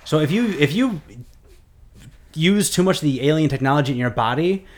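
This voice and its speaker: A male podcast co-host who talks quickly and rambles, circling through his thoughts with lots of likes and false starts. It's loose, casual chat between friends. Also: a little high, expressive. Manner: rambling, full of likes and restarts.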